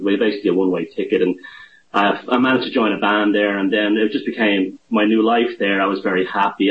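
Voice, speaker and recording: An Irish man speaking as a guest over a remote line that sounds muffled and lo-fi. His voice is fairly low. He talks casually and rambles, often restarting his sentences. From Ireland. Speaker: guest author. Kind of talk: casual interview talk. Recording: dull lo-fi phone-style line.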